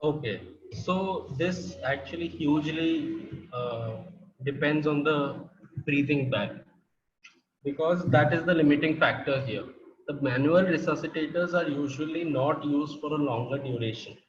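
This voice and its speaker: A man's voice: on the high side, measured pace, roomy sound, muffled recording, slight background noise. A man from India with an Indian English accent, giving a technical workshop presentation over a video call, explaining step by step with fillers and restarts.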